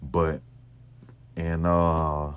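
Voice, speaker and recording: A young man with a Mississippi accent, hosting a casual solo Q&A, talking slowly in a laid-back, mumbling way. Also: level tone, clean tone, dry recording, muffled recording. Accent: Mississippi Southern US. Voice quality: deep voice. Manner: slow, laid-back, mumbling, laughs often. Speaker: podcast host.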